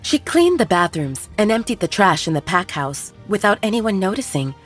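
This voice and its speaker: A woman narrating a scripted fiction story in a measured, expressive storytelling manner. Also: medium pitch, soft background music. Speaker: audiobook narrator.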